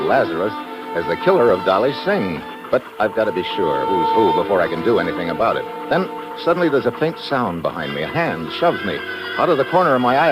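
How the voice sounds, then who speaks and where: An actor playing a tough, wry American investigator with an American accent, in old radio detective drama style. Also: male voice, on the low side, low-quality recording. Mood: narrating, wary, then urgent. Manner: hardboiled, terse, slangy. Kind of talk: 1950s radio detective drama acting, first-person narration.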